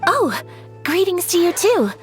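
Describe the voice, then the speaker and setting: A woman's voice: very high voice, big pitch swings, measured pace, full character voice. A woman playing a refined, earnest young noblewoman in a video game, speaking formally and politely. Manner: formal, polite, precise phrasing. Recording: game music behind voice.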